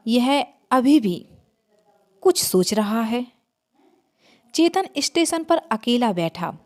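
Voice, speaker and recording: A woman's voice: on the high side, darkish tone. A woman reading a scripted horror story as its narrator.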